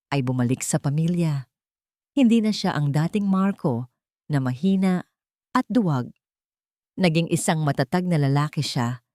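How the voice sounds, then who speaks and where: A woman narrating a romantic melodrama in an even, measured storytelling manner. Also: deep voice, dry recording, studio quality.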